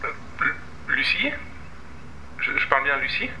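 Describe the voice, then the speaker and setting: A man's voice: medium pitch, very animated, normal speed, sharp tone, slight background noise, pitched above the performer's own voice, rough recording. A man playing a slimy, obsessive anonymous caller in an audio drama, hesitant and cloyingly polite. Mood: nervous, fawning. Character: creepy male anonymous caller, slimy obsessive psychopathic harasser. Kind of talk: audio fiction phone drama.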